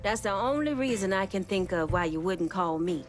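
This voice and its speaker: Proud female crime boss played by an actress, stern, measured, confrontational, mid-range voice with faint game score. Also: mid-range voice, animated, faint music behind, natural voice. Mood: displeased, threatening.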